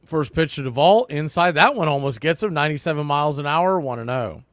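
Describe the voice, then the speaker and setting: A man calling baseball play-by-play live, in clipped pitch-by-pitch phrases. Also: lively intonation, a little fast, fairly bright tone, no echo, studio quality. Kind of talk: live sports commentary.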